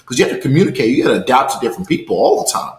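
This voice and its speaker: A man's voice: mid-range voice, very animated, rapid-fire. A man from Liberia hosting a casual interview, encouraging his guest as he talks, recorded with a roomy echo. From Liberia, West Africa. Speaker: podcast host, interviewer. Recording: roomy, echoing sound.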